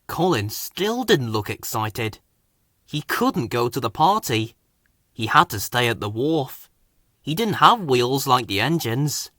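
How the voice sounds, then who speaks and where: A man's voice: medium pitch, sing-song, takes it slowly, bright, forceful tone, no echo, clean studio sound. A man reading a scripted children's story as its narrator.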